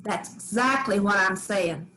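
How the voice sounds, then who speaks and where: An actress reading the part of a sharp-tongued farm matriarch in a staged play reading, curt and defiant. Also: female voice, medium pitch, measured pace, slight background noise, poor audio. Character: hard-bitten farm matriarch, older woman, sharp-tongued.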